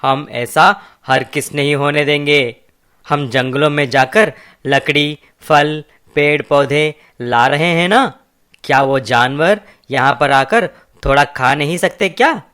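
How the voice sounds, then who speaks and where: A man voicing a principled villager who protests earnestly and indignantly in an animated moral story. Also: a little high, slow, clear, resonant tone.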